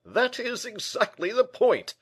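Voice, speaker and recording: A man voicing a vain, rich, big nobleman in an audiobook, slow, emphatic and melancholy. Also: very high voice, sing-song, fairly bright tone, husky, voice pushed up, heavily acted. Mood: proud, emphatic. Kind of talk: audiobook character acting.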